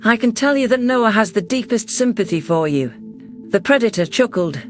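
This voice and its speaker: High-voiced male sci-fi narrator. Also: normal speed, faint music behind. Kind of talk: first-person sci-fi audiobook narration.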